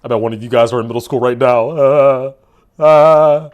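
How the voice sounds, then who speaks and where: A man in his twenties chatting casually with his live-stream chat, laughing and swearing in quick asides. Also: medium pitch, fairly even intonation, a little mellow, dull audio. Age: 20s.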